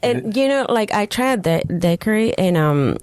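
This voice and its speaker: A woman's voice: mid-range voice, animated, moderate pace, a little mellow, no echo. A woman from Mexico with a Mexican accent, a native Spanish speaker chatting casually as a podcast co-host. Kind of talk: casual chat.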